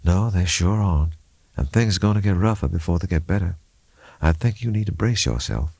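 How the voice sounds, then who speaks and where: A man voicing a gentle female officer in an audiobook, careful and hesitant as someone bearing grim news. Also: low-pitched, animated, a little fast, creaky, breathy edge, no room sound, full character voice. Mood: earnest, uneasy. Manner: careful, hesitant, groping for words. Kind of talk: audiobook character acting.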